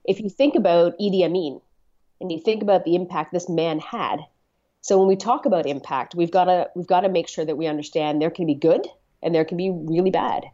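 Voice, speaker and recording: A middle-aged woman from Canada who talks in a low, earnest voice. She often hesitates and repeats words as she reflects on her story. The sound is slightly muffled and lo-fi. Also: a little fast, mellow. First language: Swahili. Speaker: interview guest. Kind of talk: reflective conversational interview.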